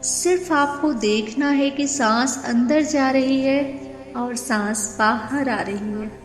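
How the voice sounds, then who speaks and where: A woman leading a guided meditation in a gentle, soothing manner, unhurried and leaving long pauses. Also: sits high. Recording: echoey long reverb with music. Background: meditation music.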